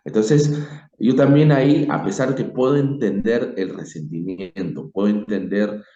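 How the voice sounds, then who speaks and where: A man with a Peruvian Spanish accent giving his opinion informally, reflective and halting, leaning emphatically on key words. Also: lowish voice, mellow. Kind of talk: informal spoken opinion on history. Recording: dull, echoey room recording.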